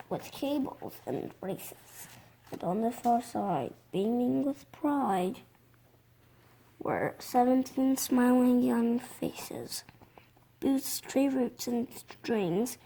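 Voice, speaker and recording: A six-year-old child reading a picture book aloud, haltingly, with stumbles over words. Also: mid-range voice, dark tone, husky. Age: young child, six. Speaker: reader.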